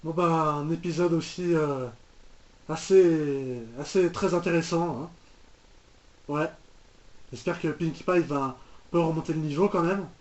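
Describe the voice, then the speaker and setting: A young man giving casual reaction commentary in a halting, incredulous manner. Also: on the high side, steady tone, very noisy. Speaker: reaction video host.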